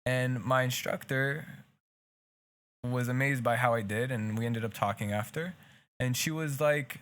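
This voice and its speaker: A man's voice: mid-range voice, fairly even intonation, unhurried, fairly bright tone. A young man with a Hebrew accent, a native Hebrew speaker, co-hosting a casual podcast and talking earnestly in long, rambling stretches full of restarts. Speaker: podcast co-host.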